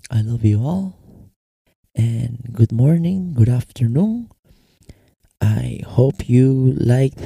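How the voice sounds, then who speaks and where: A man's voice: medium pitch, vocal fry, mellow, husky, dry recording, studio quality. A young Mexican man with a Mexican Spanish accent and some American English, hosting his own podcast and talking loosely in slang, switching between Spanish and English. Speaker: podcast host. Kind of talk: casual solo podcast monologue. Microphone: close podcast microphone.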